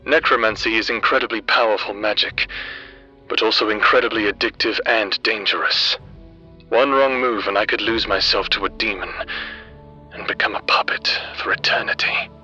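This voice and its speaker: A man's voice: on the low side, moderate pace, sharp tone, dull audio. A man playing a grave, tormented prisoner in a fantasy audio drama, with solemn, formal speech. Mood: solemn, torn. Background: music.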